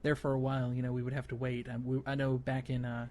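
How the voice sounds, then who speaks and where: A man's voice: mid-range voice, completely flat delivery, fast, warm tone, a little hiss or hum. A man hosting a gaming podcast, explaining things casually in a loose, hesitant way. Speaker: podcast host.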